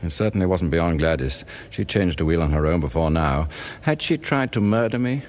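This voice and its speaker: A man's voice: low-pitched, lively intonation, a little fast, darkish tone. A British man playing an anxious, suspicious professor who narrates a radio drama in a formal, brooding way. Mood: suspicious, uneasy. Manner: formal, brooding, swinging in emphasis. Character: anxious, suspicious professor narrating his own unravelling marriage. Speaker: narrator and lead actor.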